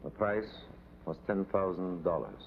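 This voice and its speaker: An actor playing a smug, calculating hired assassin in a 1950s TV drama, speaking in a formal, haughty, precise way. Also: male voice, sits low, expressive, unhurried, gravelly, exaggerated voice. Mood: smug confession. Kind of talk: 1950s television drama acting. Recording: dull, noisy old TV soundtrack.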